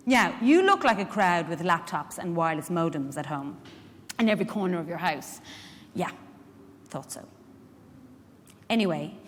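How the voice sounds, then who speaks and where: An Irish woman actor playing a chatty, frank, wry carer in a theatrical monologue, putting pointed questions to her listeners. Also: medium pitch. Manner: conversational, pointed rhetorical questions. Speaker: actor in a dramatic reading. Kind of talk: theatrical monologue addressed to a live audience. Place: large indoor hall at a public event. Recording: echoey hall, live stage reading.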